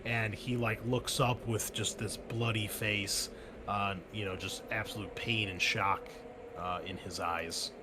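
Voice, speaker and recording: A man running a tabletop game as game master, narrating in a casual, halting way full of fillers. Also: lowish voice, steady tone, noisy background.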